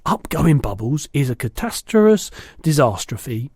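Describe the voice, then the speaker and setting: A man's voice: fairly high pitch, big pitch swings, a little slow, a little mellow, breathy edge, no room sound, studio quality, full character voice. Man voicing an exuberant, swooping old friendly giant. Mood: exasperated. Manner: emphatic, exclaiming, mangled words and grammar. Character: big friendly old giant, exuberant, indignant, muddled speech.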